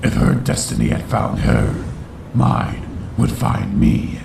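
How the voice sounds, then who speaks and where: A man playing a brooding unicorn villain who solemnly narrates his tormented youth in a gravelly voice, as in a dramatic comic dub. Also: mid-range voice, huge pitch swings, slow, a little mellow, roomy sound, recorded from a distance, a little hiss or hum, heavily acted. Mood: ominous, regretful. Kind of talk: scripted first-person dramatic narration.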